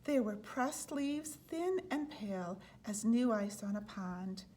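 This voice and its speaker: A woman's voice: medium pitch, animated, takes it slowly, warm tone. A woman reading a children's picture book aloud as a storyteller.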